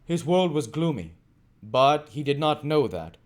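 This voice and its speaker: A man reading a novel aloud as an amateur audiobook narrator, phrasing deliberately and occasionally stumbling over a word. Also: a little high, very animated.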